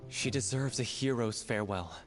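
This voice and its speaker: An actor voicing a thoughtful, practical man from a theatre troupe in a video game, measured and serious. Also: mid-range voice, soft background music, natural voice. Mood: solemn.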